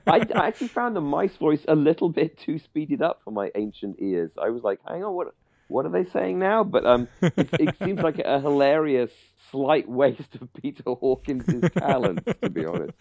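A man's voice: on the high side, big pitch swings, moderate pace, gravelly, a little mellow, no room sound, muffled recording. A middle-aged man with an English accent co-hosting a podcast, chatting casually and informally about a radio drama, often searching for words. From England. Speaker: podcast co-host.

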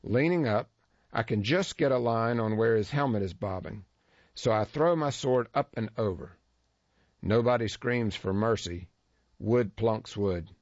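A man narrates a first-person feature story with a wry, dry humor. His voice sits on the low side. The recording is dull and lo-fi, with a close, dry sound and no room echo. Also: measured pace, darkish tone.

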